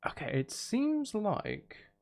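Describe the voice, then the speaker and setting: A man's voice: high voice, wildly swooping pitch, slow, creaky. A man streaming casually, muttering and thinking aloud as he works on music. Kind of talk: casual livestream chat while making music. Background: electronic drum beats and synth sounds.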